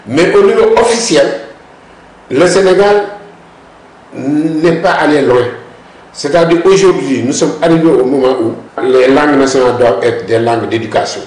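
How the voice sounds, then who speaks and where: A man's voice: medium pitch, moderate pace, darkish tone. A man, an expert guest who explains at length and insists on his points, often cutting in with repeated words. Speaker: language policy expert guest. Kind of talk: discussion interview. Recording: roomy echo.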